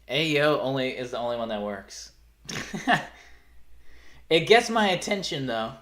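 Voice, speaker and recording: Young guy streaming, light rural pennsylvania twang, mid-pitched voice, keeps restarting sentences and drifting off. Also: expressive, a little slow, fairly bright tone. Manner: rambling, self-correcting, trails off. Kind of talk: casual live-stream chat.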